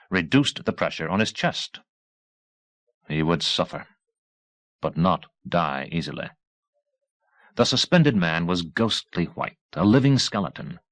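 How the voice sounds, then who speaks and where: A low-voiced male audiobook narrator reads dramatic fiction. His phrasing is measured and deliberate, and the sound is close and dry, with very little room. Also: fairly bright tone, no room sound. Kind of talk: scripted novel narration, dramatic.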